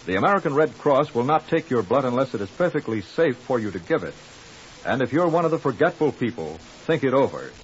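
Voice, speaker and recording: A man announcing in formal, earnest 1950s radio style, reading from a script. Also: mid-range voice. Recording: muffled, hissy 1950s radio recording.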